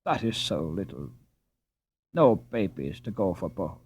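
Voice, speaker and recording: A man's voice: low-pitched, very animated, a little slow, a little mellow, slightly rough, voice pushed down, full character voice. A man voicing a stout, good-natured, weary Dutch boy who speaks blunt broken English with a Dutch accent. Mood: approving, insistent.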